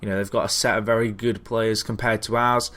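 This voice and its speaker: A man's voice: on the low side, flat delivery, fairly quick, a bit bright. A man hosting a gaming video with casual, chatty commentary on a football management game, hesitating and thinking aloud as he goes.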